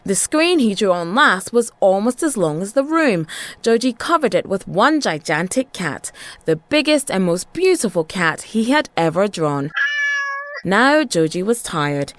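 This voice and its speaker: A woman reading a children's tale in an expressive, dramatic storytelling style with rhythmic phrasing. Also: medium pitch, unhurried, a little hiss or hum.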